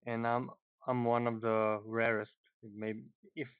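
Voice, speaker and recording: A man's voice: medium pitch, level tone, measured pace, smooth, dry recording. A thirty-year-old man with a Slovenian accent introduces himself informally on a group call, hesitantly, often repeating words. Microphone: internet or phone call, headset. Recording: muffled narrow phone-like call audio.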